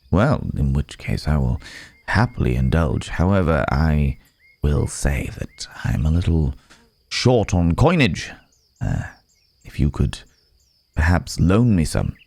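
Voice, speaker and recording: A man's voice: extremely low pitch, very animated, slow, gravelly, long pauses, darkish tone, no room sound, full character voice. A man playing a courteous, guarded werewolf from the city in an intimate ASMR roleplay, speaking in hesitant, formal, polite phrases. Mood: sheepish, genial.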